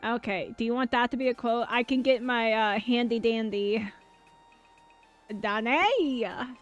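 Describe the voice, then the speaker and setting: A woman streaming a game, chatting casually with viewers, excitable and swearing freely. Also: on the high side, slow. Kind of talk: casual livestream chat over gameplay. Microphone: dynamic mic. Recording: dull mic with faint game music.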